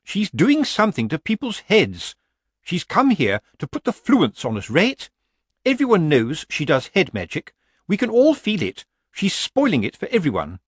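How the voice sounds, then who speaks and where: A man's voice: sits high, wildly swooping pitch, normal speed, slightly rough, dry recording, clean studio sound, pitched above the performer's own voice, heavily acted. A man voicing a stern, officious village witch in a storybook, prim and accusing in manner. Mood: indignant.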